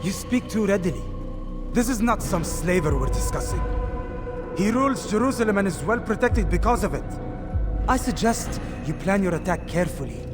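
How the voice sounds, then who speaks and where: An actor playing a dry, sardonic, wary informant in a video game, giving formal briefings. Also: male voice, high voice, animated, moderate pace, over music. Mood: wary, scolding. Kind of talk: dramatic video game voice acting.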